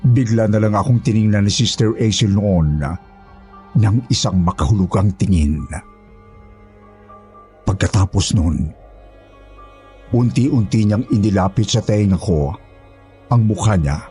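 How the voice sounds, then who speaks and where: A man's voice: fairly low pitch, measured pace, long pauses, mellow, faint music behind. A man narrating a scripted horror story in the first person as its storyteller.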